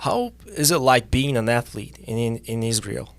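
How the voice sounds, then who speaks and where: Young guy hosting a podcast, lively, mid voice, dead dry sound. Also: mid-range voice, dry recording. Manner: lively, loud greetings, halting English. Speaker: podcast host. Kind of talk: casual interview chat.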